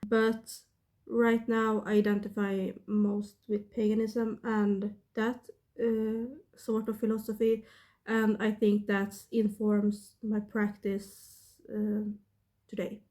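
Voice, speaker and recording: A woman in her thirties with a Swedish accent, sick and talking casually to her camera in a halting way, with many pauses and fillers. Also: medium pitch, completely flat delivery, dark tone. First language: not English. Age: 30s. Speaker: witchcraft vlogger.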